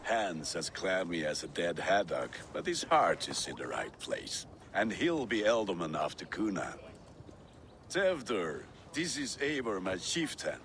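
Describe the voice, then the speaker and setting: Friendly Dane smith, game cutscene, very lively swooping pitch, teasing, jovial, slight background noise. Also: male voice, a little slow, heavily acted. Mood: warm, introducing. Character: hearty friendly male Dane smith, eager bridegroom. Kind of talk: video game cutscene acting.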